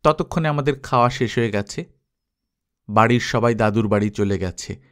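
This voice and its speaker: A man reading a story aloud as an expressive audiobook narrator. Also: medium pitch, moderate pace, clean tone.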